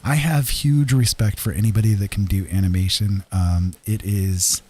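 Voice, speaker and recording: A man with a deep voice talking casually and quietly to livestream viewers, at home on a podcast microphone. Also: fairly even intonation, moderate pace. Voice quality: deep, husky. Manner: soft-spoken, holding his voice down so as not to wake someone. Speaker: livestreaming digital artist, former radio talk show host. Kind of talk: casual chat with stream viewers. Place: room at home. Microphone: podcast microphone through a mixer, at a desk.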